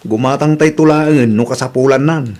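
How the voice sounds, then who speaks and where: Grumbling young husband played by an actor. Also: male voice, mid-range voice, fairly quick, darkish tone, natural voice. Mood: sceptical, grumbling. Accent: Filipino (Ilocano). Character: husband, sceptical, thrifty, grumbling young father-to-be. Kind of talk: Ilocano radio comedy drama acting.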